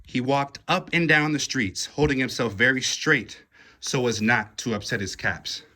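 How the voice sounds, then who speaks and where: A man reading a children's picture book aloud in an expressive, deliberate way with dramatic pauses. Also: mid-range voice. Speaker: storybook reader.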